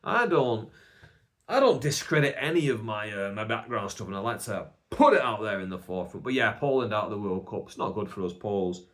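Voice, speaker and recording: Young Bolton comic, solo podcast host: rambling, self-deprecating, slightly low register. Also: male voice, lively intonation, a little fast, fairly bright tone. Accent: Northern English, Bolton. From England. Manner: chatty, rambling, self-mocking, many "like" fillers. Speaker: podcast host and stand-up comedian. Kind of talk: casual solo podcast monologue.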